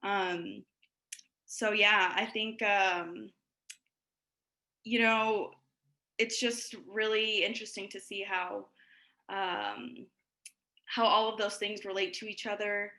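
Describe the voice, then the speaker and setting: A woman with a bright, clear voice speaks earnestly, pausing often and leaning on fillers as she works through her thoughts. She is a panelist on a video call, and the room around her rings with echo. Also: medium pitch, steady tone. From Ecuador. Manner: earnest, many fillers and pauses. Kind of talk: panel discussion remarks.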